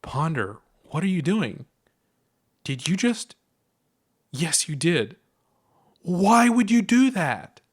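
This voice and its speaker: A huge but gentle female monster with tusks, put on by a male narrator. The voice is lifted high and fretful, full of storybook anxiety. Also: sing-song, slow, pauses often, breathy edge, heavily acted. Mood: alarmed. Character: anxious, gentle female giant tusked monster.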